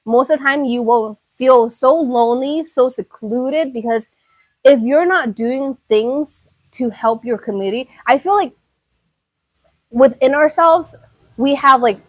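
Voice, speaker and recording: A woman's voice: fairly high pitch, no echo, low-quality recording. A young woman from Thailand chatting casually on a live stream, answering her viewers' comments and often repeating herself. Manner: chatty, repeats words, many fillers. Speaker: YouTuber reading viewer chat.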